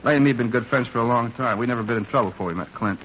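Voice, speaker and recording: A man's voice: medium pitch, rapid-fire, natural voice. An American actor playing a resigned small-time robbery suspect in a 1950s radio crime drama, bitter and colloquial as he talks. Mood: resigned, confessing. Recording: dull, noisy vintage radio recording.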